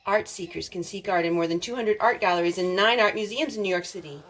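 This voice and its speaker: A woman reading a picture book aloud as a storytime host, expressive, drawing out words for emphasis. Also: medium pitch, quick pace. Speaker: storytime reader.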